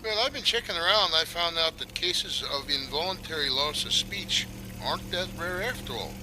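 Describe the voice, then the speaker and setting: An actor playing a breezy, folksy older doctor in a radio detective drama, teasing and colloquial. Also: male voice, fairly high pitch, animated, moderate pace, noisy background, lightly acted. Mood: explanatory.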